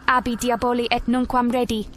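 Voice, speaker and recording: A woman's voice: a little high, little pitch movement, a little fast, fairly bright tone, slight background noise, lightly acted. A woman playing a bold female adventurer in a video game, speaking in a firm, assured manner.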